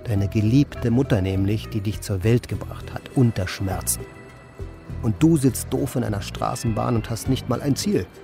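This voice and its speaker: Low-voiced, brooding idler, music behind. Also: male voice, normal speed, gravelly. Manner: deadpan, musing, absurdly questioning. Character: aimless, brooding man, eccentric idler and narrator. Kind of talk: German radio play, dialogue and inner monologue.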